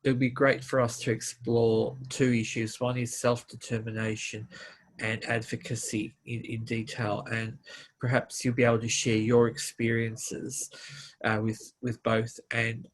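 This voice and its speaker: Guy hosting an interview, kinda halting, lots of uhs, middle pitch. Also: monotone, rough recording. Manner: halting, searches for words with many "uh" fillers. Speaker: interview host.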